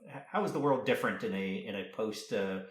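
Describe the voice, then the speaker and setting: A man interviewing casually, halting and repeating words as he works his way around to a question. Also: on the low side. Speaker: podcast interviewer. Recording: echoey room sound.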